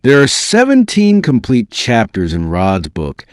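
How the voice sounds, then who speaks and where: A man's voice: medium pitch, wildly swooping pitch, a little slow, smooth, no echo, clean studio sound. A man reading aloud as a narrator, in a dramatic scripted audiobook style. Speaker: narrator reading a book excerpt.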